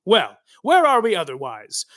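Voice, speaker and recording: An actor playing a droll, self-aware comic narrator with an arch, sardonic manner. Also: male voice, high-pitched, wildly swooping pitch, moderate pace, fairly bright tone, dry recording, studio quality, full character voice. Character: droll, snarky, self-aware narrator who comments on characters. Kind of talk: comedic scripted narration.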